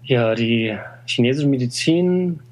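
A man's voice: mid-range voice, lively intonation, takes it slowly, a little mellow, rough recording. German-speaking man explaining with many fillers. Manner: explanatory, frequent "äh" and "ne?". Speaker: TCM practitioner. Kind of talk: conversational interview.

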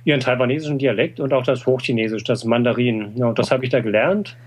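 A man's voice: medium pitch, quick pace, husky. German-speaking man explaining with many fillers. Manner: explanatory, frequent "äh" and "ne?". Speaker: TCM practitioner. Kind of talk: conversational interview.

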